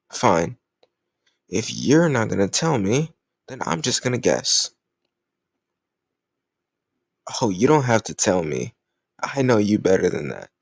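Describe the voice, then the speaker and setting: Man playing a cocky, gravelly flirt. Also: fairly low pitch, no echo, clean studio sound, full character voice. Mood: needling, insistent. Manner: teasing, cajoling, smug, drawn-out words. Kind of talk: intimate romantic audio roleplay.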